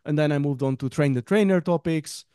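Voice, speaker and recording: Mellow-voiced man on a call. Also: fairly high pitch, a little fast, clear, resonant tone, no echo, clean studio sound. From Greece. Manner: friendly, rambling, laughs between phrases. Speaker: guest trainer. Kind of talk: casual webinar interview. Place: home room, on a video call. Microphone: headset with desk mic.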